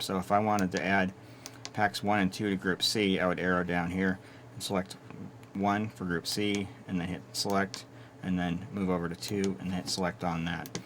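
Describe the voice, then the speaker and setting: A man giving a steady, methodical hands-on walkthrough of equipment he is reviewing. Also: low voice, normal speed, a little hiss or hum. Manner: steady, methodical explaining with small restarts. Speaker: product reviewer.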